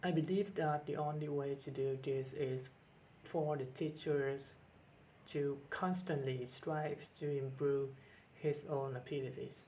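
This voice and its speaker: A man's voice: a little high, fairly even intonation, a little slow, a little mellow, a little hiss or hum, low-quality recording. Earnest man with a Vietnamese accent. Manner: hesitant, earnest, many fillers and restarts. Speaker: teacher and channel host. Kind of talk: personal talk to camera.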